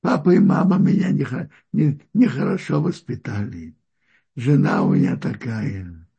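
A man teaching a Torah lesson with a deeply husky, gravelly voice. His tone sits a little high for a man yet sounds dark and mellow. He speaks haltingly, often breaking off and starting phrases over. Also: sing-song, dull audio. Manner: halting, searches for words with frequent restarts. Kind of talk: religious lesson.

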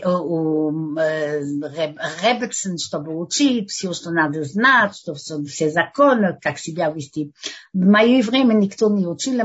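An older woman gives a religious lesson in a learned language, and her first language is French. Her voice is husky, and she halts often to hunt for the right word. The recording sounds dull and lo-fi. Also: mid-range voice. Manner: halting, searching for words in a learned language. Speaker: religious teacher.

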